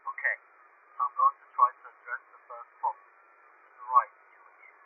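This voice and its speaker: A man's voice: medium pitch, flat delivery, moderate pace, husky. A man playing a dismissive police detective being interviewed over the telephone in a radio drama. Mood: dismissive, official. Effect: telephone filter. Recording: noisy, distant, tinny phone line.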